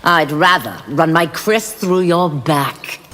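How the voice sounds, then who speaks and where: A woman's voice: sits low, slow pace, heavy background noise. A woman playing a righteous, defiant female demon warrior in a video game, speaking firmly and formally. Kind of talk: video game combat banter. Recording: echoing hall, clear music behind.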